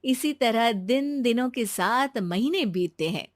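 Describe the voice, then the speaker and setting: A woman's voice: fairly high pitch, lively intonation, measured pace, dry recording, studio quality. A woman narrating a scripted moral story as a storyteller.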